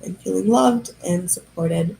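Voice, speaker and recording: A young adult woman hosting a podcast livestream from a high-rise apartment. She has a head cold that makes her nasal, and she chats in a casual, rambling, self-amused way. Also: measured pace, creaky, mellow, slight background noise, poor audio. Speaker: podcast host.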